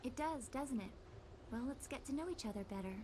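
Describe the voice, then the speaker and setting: A woman's voice: sits high, lively intonation, fairly quick, background noise. An actress playing a polite young female technology specialist in a video game, friendly, girlish and earnest. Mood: playful, flattered.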